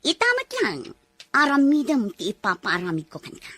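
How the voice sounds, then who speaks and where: A woman's voice: high voice, wildly swooping pitch, moderate pace, sharp tone, dry recording, heavily acted. A woman playing a cold scheming lady of the house. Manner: cold, commanding, threatening. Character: scheming cruel female employer, wife of the house. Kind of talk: radio drama acting.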